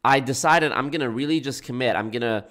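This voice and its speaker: A man's voice: medium pitch, fast talker, fairly bright tone, faint music behind. A man with a nasal, congested voice, talking casually to his livestream chat as a content creator and often restarting his sentences. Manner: rambling, self-correcting, frequent restarts. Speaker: anti-MLM YouTube creator.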